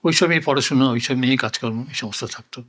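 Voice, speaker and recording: An older man reflecting on his life in conversation, speaking deliberately and repeating phrases for emphasis. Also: mid-range voice, fairly bright tone. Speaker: interview guest. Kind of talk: reflective oral-history conversation.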